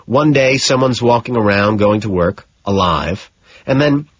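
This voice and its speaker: A man's voice: fairly low pitch, lively intonation, unhurried, slightly rough, no echo, highs cut off. A man voicing an earnest, slightly sheepish detective in an audiobook. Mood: weary, lost. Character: earnest, rumpled, sheepish male detective questioning a suspect. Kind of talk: audiobook character dialogue.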